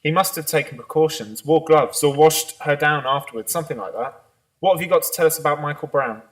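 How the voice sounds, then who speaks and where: A man playing a businesslike police boss in an amateur radio drama, measured, matter-of-fact and authoritative. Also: fairly high pitch, fairly bright tone, no room sound. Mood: thoughtful, measured. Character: businesslike male police boss briefing his detectives.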